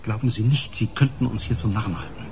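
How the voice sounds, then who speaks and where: An actor playing a stern, impatient police interrogator in a radio crime drama, pressing with accusing insistence. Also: male voice, lowish voice, fast, a little mellow, a little hiss or hum, close to an everyday voice.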